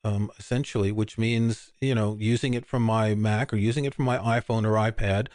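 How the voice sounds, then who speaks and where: A man's voice: lowish voice, moderate pace, dry recording, studio quality. An older man, co-hosting a podcast, chatting casually about music with frequent restarts. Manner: relaxed, rambling, many restarts. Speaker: podcast co-host. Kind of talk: casual chat.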